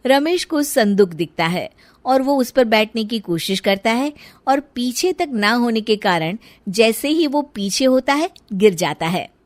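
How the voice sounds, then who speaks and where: A woman's voice: fairly high pitch, expressive, unhurried, no echo. A woman reading scripted narration for a story, as the narrator.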